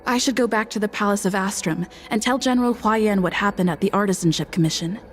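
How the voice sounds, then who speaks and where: A woman's voice: medium pitch, fast talker, slight background noise, lightly acted. A woman voicing a young female protagonist thinking aloud in a video game. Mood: purposeful, matter-of-fact.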